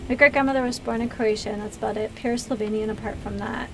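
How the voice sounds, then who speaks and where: Higher-voiced American woman chatting on stream. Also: fairly even intonation, fast talker, background noise. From US East Coast, Pennsylvania. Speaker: video game streamer and host. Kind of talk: casual livestream chat with viewers.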